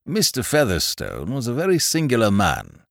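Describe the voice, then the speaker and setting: Man voicing a genial, animated old English solicitor. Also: mid-range voice, moderate pace, gravelly, dry recording, clean studio sound, voice pushed up. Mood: wistful, reflective. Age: older. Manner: courtly, mild, repeats himself. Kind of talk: audiobook character voice.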